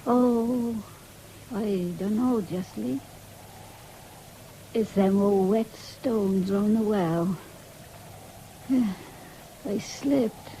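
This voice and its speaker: A woman's voice: mid-range voice, extremely slow, long pauses, mellow, slight background noise, poor audio. An actress playing an ailing, stubborn, fretful country mother with a rural English accent in a 1970s radio drama. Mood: evasive.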